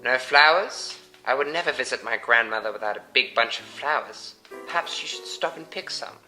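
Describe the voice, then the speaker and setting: A man voicing a sly, menacing big bad wolf in a bedtime storybook, with a growly, drawn-out delivery. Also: mid-range voice, expressive, sharp tone, soft background music. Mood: sly coaxing. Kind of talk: amateur bedtime storybook reading.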